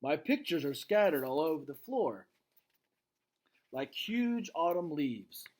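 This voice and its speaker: A man voicing a solemn gorilla who tells his own storybook tale in short, measured, deliberate sentences. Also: fairly high pitch, very animated, heavily acted.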